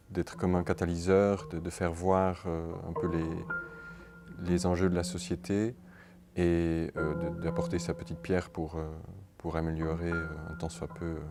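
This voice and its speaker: Halting deep-voiced man, music behind. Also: little pitch movement. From Netherlands. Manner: halting, many repeated words and fillers. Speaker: artist interviewee. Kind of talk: hesitant interview answers.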